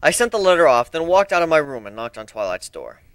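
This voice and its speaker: A man reading a story as an amateur narrator, telling it in the first person with a theatrical, expressive delivery. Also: fairly high pitch, fast, clean tone, no room sound.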